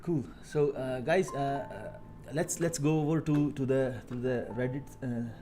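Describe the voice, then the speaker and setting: A man in his thirties from India with an Indian accent, talking casually on a coding live stream, halting with many fillers and repeated words. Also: mid-range voice, steady tone, mellow, faint music behind. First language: Hindi. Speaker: live-streaming software engineer.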